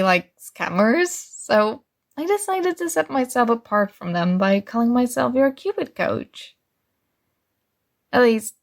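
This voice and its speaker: Woman acting as sweet clueless girl friend, higher voice, slightly creaky, earnest and bubbly, soft ASMR roleplay. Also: exaggerated voice. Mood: earnest, encouraging. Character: sweet, oblivious, earnest young female friend giving love advice.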